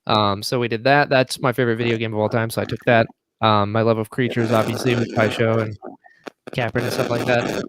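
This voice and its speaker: A man talking casually as a podcast guest, with an animated, geeky enthusiasm. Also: mid-range voice, a little fast, very noisy. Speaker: guest, audio drama producer.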